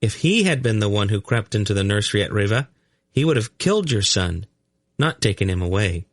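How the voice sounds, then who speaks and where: An uncanny young storybook boy voiced by a man. He speaks higher than the narrator's natural voice, calm and matter-of-fact, as if he simply knows things. The sound is close and dry. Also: brisk, no room sound. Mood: calm, knowing. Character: calm, uncanny young blond boy who reads minds.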